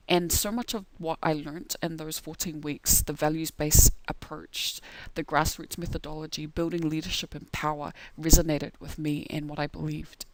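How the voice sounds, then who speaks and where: A woman's voice: sits low, low-quality recording. A young woman with a New Zealand accent telling a prepared personal story as a seminar speaker. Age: young adult. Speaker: seminar speaker, community organiser.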